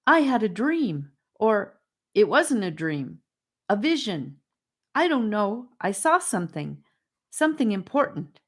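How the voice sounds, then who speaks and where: Woman voicing an earnest, excited young boy, pitched up. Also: unhurried. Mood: agitated.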